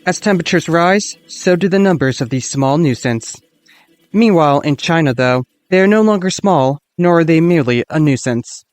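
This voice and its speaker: Man playing a higher-voiced radio news reporter. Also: expressive, moderate pace, no room sound, soft background music, close to an everyday voice. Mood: serious, concerned. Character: sober male American radio news reporter.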